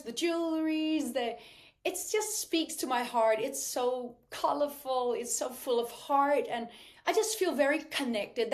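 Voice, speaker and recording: Danish woman singer, heartfelt and earnest. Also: high voice. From Denmark. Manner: warm, heartfelt, with restarts. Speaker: singer-songwriter guest. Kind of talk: podcast interview.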